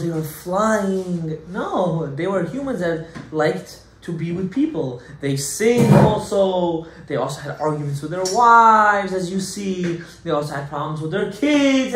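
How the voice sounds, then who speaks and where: A male rabbi teaches a Torah class in a somewhat high voice, pressing his points with rhetorical questions and sudden loud outbursts. His speech is peppered with Hebrew terms. The room is echoey, with a faint hum of noise behind him. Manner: emphatic, rhetorical questions, acts out objections loudly. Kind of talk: animated Torah lecture.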